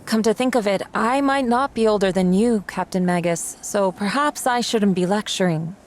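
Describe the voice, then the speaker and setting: Female game companion, played by an actress: reflective, composed, medium pitch, faint noise in the background. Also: moderate pace, close to an everyday voice. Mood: reflective, regretful. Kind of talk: dramatic video game voice acting.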